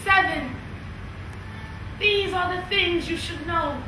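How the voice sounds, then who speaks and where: An actress playing an anguished woman who confesses buried trauma in slow, poetic, theatrical verse on stage. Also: extremely high pitch, music in the background. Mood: haunted, grieving. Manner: slow, poetic, dramatic delivery. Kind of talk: theatrical spoken-word monologue with sung refrains. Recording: echoey stage hall, distant mic, noise.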